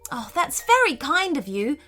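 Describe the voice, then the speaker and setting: A woman voicing a regal, gentle Snow Queen in a children's story, speaking in a measured, courteous and proper way. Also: high voice, very animated, faint music behind, full character voice. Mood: gracious.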